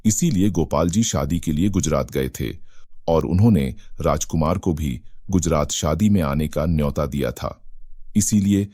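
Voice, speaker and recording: Male storyteller for scripted moral tales; extremely deep, dark, husky. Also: unhurried, no room sound, clean studio sound. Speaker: narrator.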